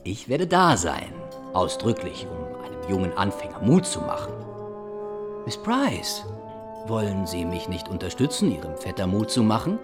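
Conceited young gentleman with animated delivery. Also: sits low, normal speed, music in the background. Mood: mocking, suave. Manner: self-assured, theatrical, playful phrasing. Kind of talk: period literary radio drama.

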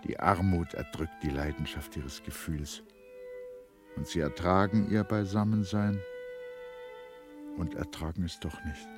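Very deep-voiced man narrating over strings. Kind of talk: literary scripted narration in a radio play.

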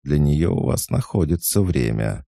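A man's voice: rumbling low, sing-song, a little slow, gravelly, mellow, dry recording, clean studio sound, pitched above the performer's own voice, exaggerated voice. A man voicing an elegant young woman in a spoken novel, speaking in a teasing, coquettish way.

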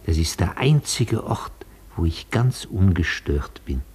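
Slow, husky old former opera singer. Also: male voice, sits low, animated, darkish tone, in a whisper, faint music behind, heavily acted. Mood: proud, nostalgic. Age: older. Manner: halting, courteous, reminiscing. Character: nostalgic old retired opera tenor, gentle, dreamy. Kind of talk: German radio play acting.

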